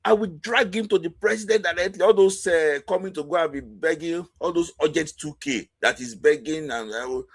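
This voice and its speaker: A man hosting a football talk show, commenting casually in an animated, exasperated way with laughs between points. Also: fairly high pitch, fairly quick, hardly any pauses.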